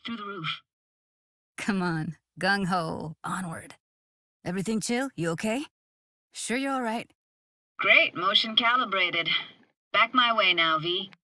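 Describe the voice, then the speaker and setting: A woman's voice: medium pitch, unhurried, pauses often, sharp tone, no room sound, studio quality. A woman playing a wry, tender young streetwise techie in a video game, with casual, clipped, slangy delivery. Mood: brisk teasing. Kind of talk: video game character dialogue.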